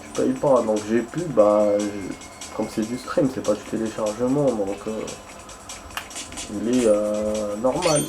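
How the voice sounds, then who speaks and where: A man's voice: fairly low pitch, takes it slowly, music in the background. A young man chatting casually while playing a game, rambling and hesitant, with many fillers and restarts. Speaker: gaming streamer.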